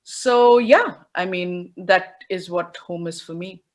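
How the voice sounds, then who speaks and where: A woman's voice: fairly low pitch, slow pace. Hesitant, thoughtful woman on a call. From India. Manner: thoughtful, hesitant, many fillers and restarts. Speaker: panelist. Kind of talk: reflective panel talk on video call. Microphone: video call.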